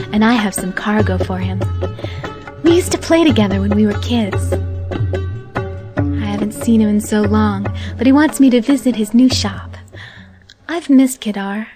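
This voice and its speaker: An actress playing an earnest, determined young woman seafarer in a game cutscene, speaking deliberately. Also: mid-range voice, close to an everyday voice. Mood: hopeful. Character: young female foreign seafarer, earnest, determined, turning vengeful. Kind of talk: dramatic game cutscene narration and dialogue. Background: music.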